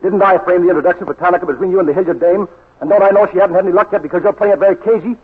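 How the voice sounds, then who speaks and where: Rapid, husky radio-drama schemer. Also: male voice, high voice, fairly even intonation. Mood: accusing. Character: shady, scheming male swindler, wheedling and indignant. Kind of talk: 1940s radio drama acting. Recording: muffled, worn 1940s radio recording.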